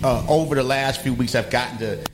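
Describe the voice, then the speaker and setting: A man's voice: mid-range voice, brisk, reverberant, noisy background. A man hosting a radio show, interviewing a guest in a casual, conversational way.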